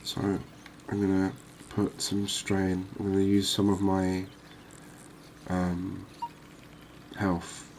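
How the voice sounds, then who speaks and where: A man giving calm, unhurried, soft-spoken ASMR gameplay commentary, musing aloud as he plays. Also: sits low, slight background noise. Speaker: gaming video host.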